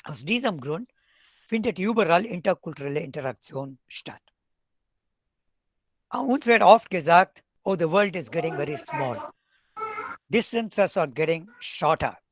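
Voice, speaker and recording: A man's voice: a little high, expressive, measured pace, long pauses, no echo. A man from South India with an Indian accent and Tamil as his first language, lecturing in a webinar with dry, wry asides. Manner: wry asides, switches between German and English. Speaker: professor speaking at a webinar. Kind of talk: academic talk.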